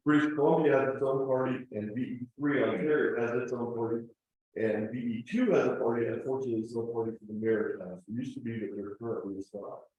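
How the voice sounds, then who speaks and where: A man talks through his slides at a club meeting, explaining patiently and pausing on fillers. His voice is dark and mellow. He is picked up from across a very echoey room. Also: medium pitch, steady tone, muffled recording. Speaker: club presenter. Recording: distant, roomy meeting-room recording.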